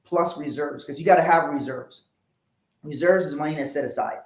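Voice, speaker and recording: A man with a mixed West African accent, explaining money rules in a relaxed co-host chat. His voice sits a little high for a man and repeats phrases as he goes. The room is echoey. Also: a little fast. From Liberia, West Africa. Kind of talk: casual financial advice chat.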